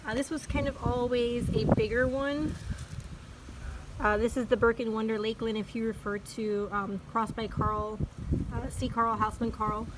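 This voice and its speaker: A woman who is losing her voice, a hoarse orchid grower chatting casually outdoors with lots of ums and uhs. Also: fairly high pitch, fairly even intonation, normal speed, noisy background. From Florida. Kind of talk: casual vlog walkthrough. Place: outdoors in a chilly backyard.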